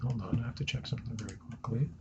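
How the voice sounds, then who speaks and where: Man, naturally low voice, gravelly edge, casual game streamer, chatty, dull lo-fi mic with faint hiss. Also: fairly even intonation, racing. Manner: chatty, says "right?" and "guys" often. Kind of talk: casual gameplay commentary. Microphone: desk mic he moves around.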